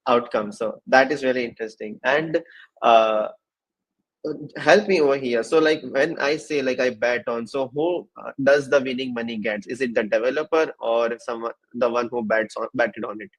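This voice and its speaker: A man hosting a casual livestream interview, speaking in halting phrases with repeated fillers. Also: mid-range voice, fairly even intonation, a bit bright, low-quality recording. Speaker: host of a developer livestream session.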